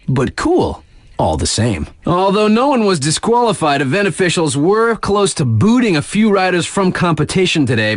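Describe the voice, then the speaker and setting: An actor playing a laid-back, wry radio DJ at a snowboard resort, chatting in slangy, conversational announcements for a game. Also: male voice, fairly high pitch, no echo, studio quality. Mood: upbeat, wry.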